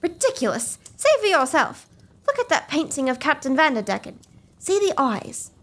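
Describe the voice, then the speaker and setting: An actress playing an excitable, melodramatic young woman in a gothic comedy audio drama. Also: high voice, normal speed. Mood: eerie, melodramatic.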